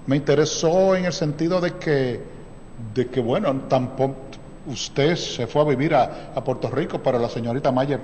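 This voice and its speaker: A man's voice: medium pitch, darkish tone, in a large hall, slight background noise, rough recording. Male talk show host. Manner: conversational, frequent short affirmations. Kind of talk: casual TV interview.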